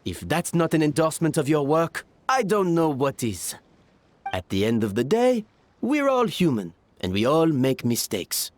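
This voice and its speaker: A man's voice: on the high side, very animated, normal speed. An actor playing an earnest, supportive male restaurant worker in a video game, speaking emphatically. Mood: reassuring.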